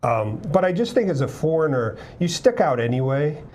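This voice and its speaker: A man speaking as an interview guest about business abroad, measured, with many "um"s and "you know"s. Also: on the high side, very animated, darkish tone, a little hiss or hum. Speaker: guest with business experience in Japan. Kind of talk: conversational interview.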